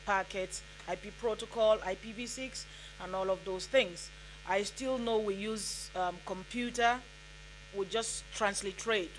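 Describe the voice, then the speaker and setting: A woman's voice: mid-range voice, a bit bright, slight background noise, low-quality recording. A woman from Nigeria whose first language is Igbo, speaking earnestly from the floor at a conference, with frequent ums and restarts. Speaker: audience member. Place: conference hall.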